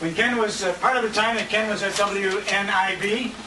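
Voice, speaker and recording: A man's voice: sits high, fairly even intonation, moderate pace. A man acting as emcee at a restaurant dinner, making introductions, recorded from a distance on a handheld camera. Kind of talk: event hosting introductions. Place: restaurant banquet room. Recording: distant, echoey, loud crowd and noise.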